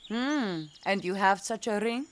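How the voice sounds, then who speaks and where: An actress playing a devoted, teasing young betrothed woman in an audio drama, expressive and playful. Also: medium pitch, measured pace, slight background noise, lightly acted. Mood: firm, teasing.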